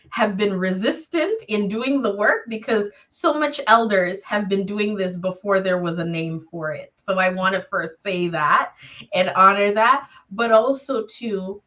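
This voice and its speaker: Slow-talking woman, slightly muffled sound. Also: mid-range voice, pushed and bright. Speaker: panelist. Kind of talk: reflective panel discussion.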